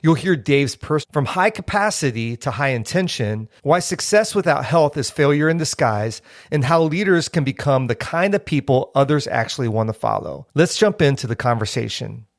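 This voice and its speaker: A man's voice: medium pitch, lively intonation, moderate pace, no room sound, studio quality. A man hosting a leadership podcast, reading a polished scripted intro and then asking a friend questions in a friendly way. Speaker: podcast host. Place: office.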